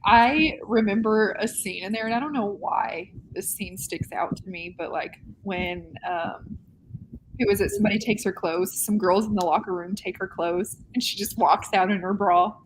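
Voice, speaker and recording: A woman's voice: medium pitch, normal speed, noisy background. A woman co-hosting and steering a casual group chat about books. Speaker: co-host steering the discussion.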